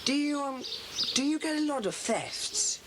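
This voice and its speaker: Bossy english schoolboy, high clear-spoken voice, earnest club leader, kids audio drama off a slightly hissy old cassette. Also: male voice, lively intonation, unhurried. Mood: polite, curious. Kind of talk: dramatised children's audiobook acting. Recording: faintly noisy old cassette recording.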